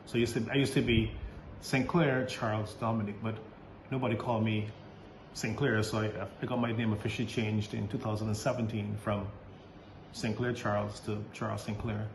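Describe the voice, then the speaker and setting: Hesitant priest, low voice. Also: male voice, little pitch movement. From Barbados. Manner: hesitant, halting, many fillers and restarts, laughs often. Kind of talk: casual interview answers. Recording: roomy recording with faint background noise.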